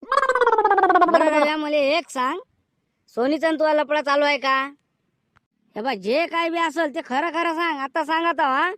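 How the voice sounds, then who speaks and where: High-pitched, dry village peacemaker played by a man. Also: lively intonation, measured pace, long pauses, bright, forceful tone, no room sound, full character voice. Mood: admonishing, measured. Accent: rural Vidarbha Marathi. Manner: admonishing, mediating. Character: exasperated village man, peacemaking elder neighbor. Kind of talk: village cartoon comedy skit.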